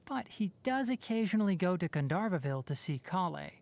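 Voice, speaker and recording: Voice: medium pitch, big pitch swings, fairly quick, gravelly, darkish tone. An actor voicing a young male fox-eared forest ranger in a video game, articulate and scholarly with a dry, wry delivery. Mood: earnest, advising. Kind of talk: scripted game character voice lines.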